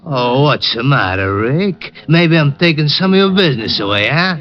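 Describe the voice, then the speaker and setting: A man's voice: a little high, expressive, normal speed, natural voice. A man playing a mocking, needling crooked private detective in an old-time radio crime drama. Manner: mocking, taunting. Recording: dull vintage radio recording.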